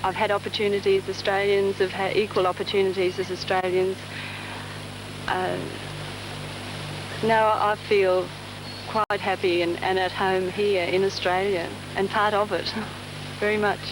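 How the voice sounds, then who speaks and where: A woman's voice: mid-range voice, little pitch movement, a little slow. A woman from the Netherlands reflecting in a hesitant, thoughtful way in a documentary interview, heard on a hissy 1970s TV documentary recording.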